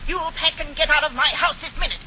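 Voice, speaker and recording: A woman's voice: high voice, fairly even intonation, fast talker, lightly acted. An actress playing an outraged, bossy landlady in a 1940s radio comedy, indignant and commanding. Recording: very noisy, muffled radio, crowd behind.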